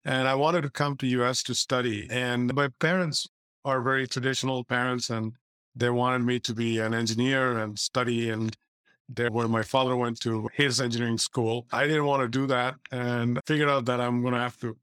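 A man's voice: mid-range voice, flat delivery, normal speed, fairly bright tone, clean tone, no echo. A man in his fifties from Bangladesh, a guest telling his story in a plain, matter-of-fact way with small restarts. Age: 50s. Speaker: guest, entrepreneur and author. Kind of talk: casual interview answers.

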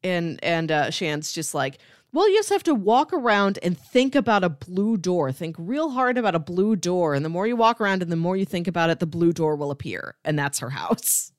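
Woman podcast co-host, lowish voice, dry close sound, casual and animated reviewer who acts out quoted lines. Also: normal speed, no echo. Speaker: podcast co-host discussing comics. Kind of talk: casual chat, book review.

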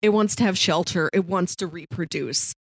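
A woman's voice: lowish voice, normal speed, no echo, studio quality. A woman co-hosting a film podcast, explaining her thoughts in a casual, thoughtful discussion. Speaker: podcast co-host.

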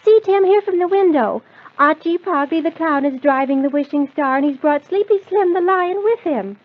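A woman's voice: very high-pitched, normal speed. A woman playing a cheerful, motherly lady of the house in an old-time children's radio show. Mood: excited. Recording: tinny, phone-like old radio recording.

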